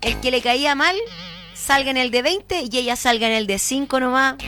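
A woman's voice: sits high, moderate pace, over loud music. A Chilean woman presenting a chatty segment, playful and full of questions to the listener. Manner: playful, talks straight to the listener with lots of questions.

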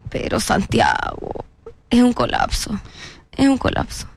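A woman's voice: on the high side, huge pitch swings, a little slow, creaky, slight background noise. A young woman with a Chilean accent, chatting casually and expressively as an interview guest, often repeating words for emphasis. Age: young adult.

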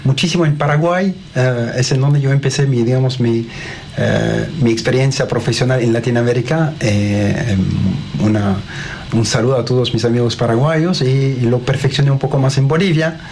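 A man, not a native Spanish speaker, answers interview questions politely, pausing often with fillers. His voice sits in a middle range with faint noise behind. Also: medium pitch, steady tone, creaky, a little mellow. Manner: hesitant, many fillers, polite. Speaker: guest, technology director.

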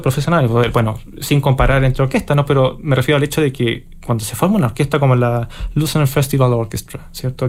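A man from Chile with a Chilean Spanish accent, a conductor answering interview questions thoughtfully, pausing and restarting as he searches for words. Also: medium pitch, a little mellow. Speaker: orchestra conductor, interview guest.

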